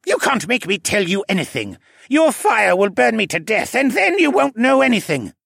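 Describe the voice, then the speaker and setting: A man's voice: medium pitch, huge pitch swings, a little slow, fairly bright tone, husky, no room sound, studio quality, exaggerated voice. A man voicing a cowardly, whining young male assassin sorcerer in a fantasy audiobook, whimpering and sulky. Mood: sulky defiance.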